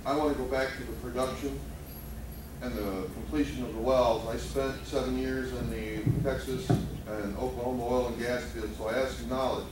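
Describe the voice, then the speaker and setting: A man in the audience with a big, self-assured voice asking a long technical question. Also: medium pitch. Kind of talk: question from the floor. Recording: very echoey hall, far mic, noisy.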